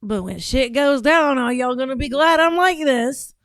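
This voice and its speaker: A woman's voice: high-pitched, normal speed, dry recording, clean studio sound. A woman hosting a call-in podcast, talking bluntly and swearing freely, with frequent laughter. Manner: blunt, profane, laughs often. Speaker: podcast host taking calls. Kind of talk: casual call-in chat.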